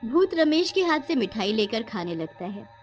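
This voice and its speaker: A woman tells a cartoon moral tale as its narrator. Her voice is fairly high, and the scripted narration runs over faint background music. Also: very animated, moderate pace.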